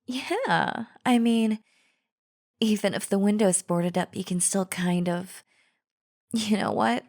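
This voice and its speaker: A woman's voice: medium pitch, slow, darkish tone. A woman playing a chatty female zombie in an audio roleplay, casually coaxing the listener with false friendliness and a sly, wheedling drawl. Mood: coaxing, falsely friendly. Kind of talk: scripted audio roleplay acting, addressing the listener.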